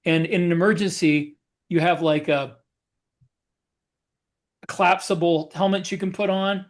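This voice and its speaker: A man's voice: high voice, steady tone, unhurried. A man co-hosting a podcast as a science fiction author, explaining things in casual conversation.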